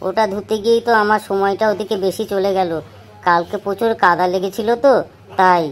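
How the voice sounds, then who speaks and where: A woman's voice: medium pitch, steady tone, a little fast, lightly acted. A woman playing a meek husband who keeps house and eagerly obliges. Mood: obliging.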